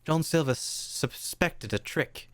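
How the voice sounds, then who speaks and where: A man's voice: fairly high pitch, a little slow, a crowd in the background. A man narrating a radio play in a theatrical storybook style.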